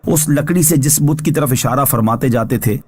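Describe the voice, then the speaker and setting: A man's voice: medium pitch, moderate pace, a little mellow, breathy edge. Man reading formal religious commentary. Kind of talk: scripted religious narration of scripture commentary.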